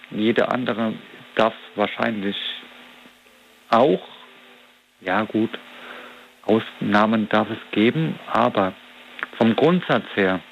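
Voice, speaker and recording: A man's voice: mid-range voice, muffled recording, slight background noise. Reflective man of forty, rambling. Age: 40s. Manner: reflective, rambling, searching for words. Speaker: interview guest. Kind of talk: casual conversational interview.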